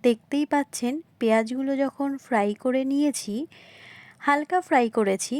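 High-pitched woman narrating a recipe. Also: normal speed, darkish tone, no echo. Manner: polite, repetitive explaining, addressing viewers directly. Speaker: home cooking video host. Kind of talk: recipe narration, instructional voice-over.

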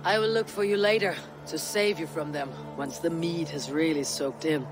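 A steady Viking warrior , acted by a man, with a somewhat high voice for a man. The clan leader speaks calmly and directly, with music behind, as in a video game story. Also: normal speed, close to an everyday voice. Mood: friendly, wry. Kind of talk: dramatic video game cutscene acting.

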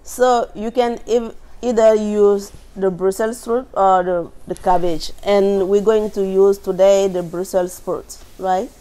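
A woman's voice: mid-range voice, fairly even intonation, a little slow, hardly any pauses, warm tone. A woman from Burkina Faso with a West African accent, giving a cooking demonstration as the instructor in a kitchen. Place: large indoor teaching kitchen.

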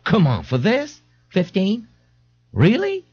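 A man's voice: high voice, huge pitch swings, takes it slowly, breathy edge, muffled recording, pitched above the performer's own voice, exaggerated voice. A man voicing a nagging, folksy older mother in an audiobook. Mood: eager, brassy. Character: brassy working-class New Orleans mother, older woman, nagging.

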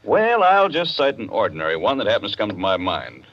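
A man playing a courteous but argumentative club member in a 1940s radio play, speaking deliberately. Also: lowish voice, wildly swooping pitch, a bit bright, heavily acted. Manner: deliberate, debating. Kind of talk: 1940s radio drama acting. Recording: dull 1940s radio recording.

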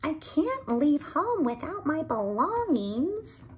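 Woman as young male bird, anxious, high, pleading storybook voice. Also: very animated, slow, full character voice.

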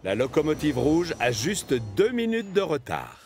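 A man's voice: fairly high pitch, wildly swooping pitch, a little slow. Male cartoon narrator over music. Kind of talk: scripted children's cartoon narration.

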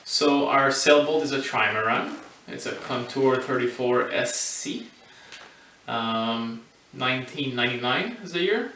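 Deadpan man with dry quips. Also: medium pitch, unhurried, slight background noise. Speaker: sailing vlog co-host. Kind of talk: casual chat. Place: living room at home, indoors. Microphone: far camera. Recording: echoey room, mic at a distance.

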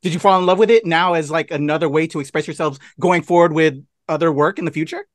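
A man, hosting a casual interview in an expressive way. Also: fairly high pitch, fast talker, dry recording, clean studio sound. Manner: expressive, gesturing. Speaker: interviewer, host. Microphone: video call.

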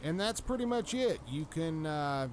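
Male gaming host, slightly high voice, loose informal narration, folksy, faint background noise. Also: lively intonation, a little slow. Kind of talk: casual mod showcase narration. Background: video game sounds and dialogue.

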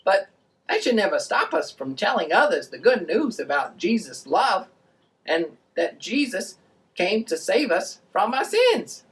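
A woman voicing an excitable, friendly boy puppet, explaining things in an animated way for a children's show. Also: medium pitch, unhurried, distant mic. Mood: earnest.

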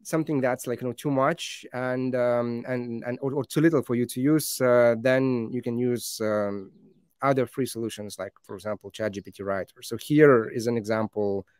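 A man in his thirties, a native Lithuanian speaker, gives a conference talk over slides, halting with many fillers and restarts. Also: mid-range voice, fairly even intonation, a little mellow, clear, resonant tone, no room sound, clean studio sound.

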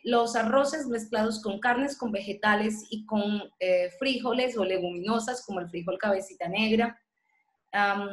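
A woman teaches food history in an online talk, her voice sitting at a medium pitch. She explains steadily, pausing on fillers and correcting herself. Her voice comes through a laptop mic in a roomy space. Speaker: cook and gastronomy history teacher. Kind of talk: online lecture over slides. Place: indoors at home, video call. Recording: roomy webinar audio.

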